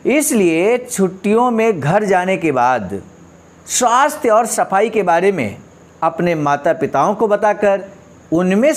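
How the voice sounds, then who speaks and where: Male speaker, high register, very lively intonation. Instructive motivational delivery: reads quotes, explains them, drives points home through repetition. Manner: emphatic, didactic, rhetorical questions, repeats points for stress. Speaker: speaker explaining a leader's speech.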